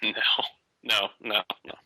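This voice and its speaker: A man's voice: slow pace, pushed and bright, no room sound, low-quality recording. A man in his thirties calling in to a talk show, speaking hesitantly with frequent fillers. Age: 30s. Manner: hesitant, many fillers and restarts. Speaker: caller. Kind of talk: casual phone-in conversation.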